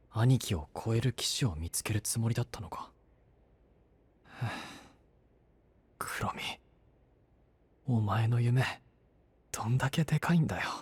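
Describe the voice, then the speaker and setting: An actor playing a brash, troubled young male knight in an anime voice drama, speaking roughly and emotionally. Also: fairly low pitch, full character voice. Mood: hushed remorse. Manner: rough casual speech, emotional. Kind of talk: Japanese anime voice drama acting.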